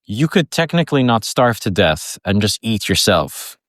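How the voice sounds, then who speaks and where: A man co-hosting an improvised comedy podcast, asking curious, halting questions while playing it straight. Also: fairly low pitch, sing-song, dry recording, studio quality. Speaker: podcast co-host.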